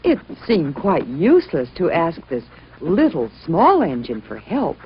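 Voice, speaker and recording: A woman's voice: low-pitched, wildly swooping pitch, a little slow. A woman narrating a children's story expressively, as a storyteller. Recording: muffled, noisy old-sounding recording.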